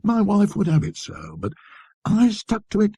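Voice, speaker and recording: A man voicing a rattled, talkative antique dealer who blusters and justifies himself in colloquial rambles, in a husky voice. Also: sits high, wildly swooping pitch, moderate pace, warm tone, no echo, studio quality, voice pushed up, heavily acted. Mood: confiding, earnest.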